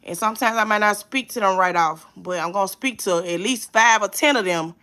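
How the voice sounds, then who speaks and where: A woman with a bright, forceful voice hosts her own reaction livestream. She talks straight to her viewers in casual, emphatic slang and swears freely as she explains how she runs her channel. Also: mid-range voice, a little fast. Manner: profane, emphatic, streetwise. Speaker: commentary channel host.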